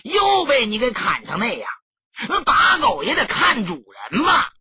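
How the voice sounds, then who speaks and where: A man voicing a menacing, extorting gang boss in an audiobook, raspy and forceful. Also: extremely high pitch, wildly swooping pitch, measured pace, lo-fi sound, noisy background, pitched above the performer's own voice, exaggerated voice. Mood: menacing, demanding. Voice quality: raspy, sharp. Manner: forceful, bullying.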